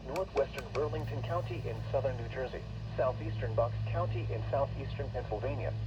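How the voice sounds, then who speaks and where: A synthetic male announcer delivers an emergency weather bulletin in a formal, measured, impersonal way. His pitch sits in an ordinary middle range. The sound is dulled and clearly noisy, like a weather radio picked up from a distance. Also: lo-fi sound.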